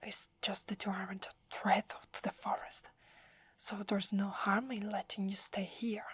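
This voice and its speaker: A woman's voice: medium pitch, level tone, a little slow, slightly rough, dry recording. Woman whispering as a proud werewolf girl. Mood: grudging. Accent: Italian. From Italy. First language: Italian. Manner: haughty, grudging, defensive. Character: proud, wary young female werewolf, prickly tsundere. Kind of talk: ASMR roleplay.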